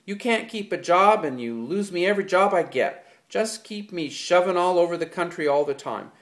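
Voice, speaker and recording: A man voicing an angry, exasperated ranch hand who rants loudly and bitterly. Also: medium pitch, expressive, normal speed, close to an everyday voice. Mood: furious. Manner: loud, bitter ranting.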